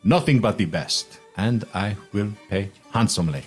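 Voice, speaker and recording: A man voicing a big, wealthy, scheming merchant in a tabletop game, florid and flattering, repeating his phrases. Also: lowish voice, normal speed, soft background music, pitched above the performer's own voice, natural voice. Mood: lavish, wheedling. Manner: florid, flattering, repeats phrases, speaks of himself in third person. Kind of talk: tabletop roleplay, in character.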